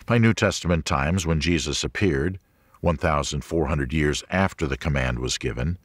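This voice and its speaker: A man reading a religious teaching audiobook as a narrator, in a measured, clearly enunciated manner. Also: a bass voice, no echo, clean studio sound.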